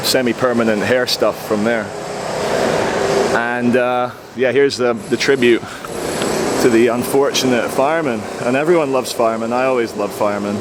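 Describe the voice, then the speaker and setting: A hoarse man with a chesty cold, vlogging as he walks a city street with wry, sarcastic commentary. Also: medium pitch, steady tone, normal speed. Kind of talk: casual walking commentary. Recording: outdoor street recording with traffic noise. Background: street traffic noise.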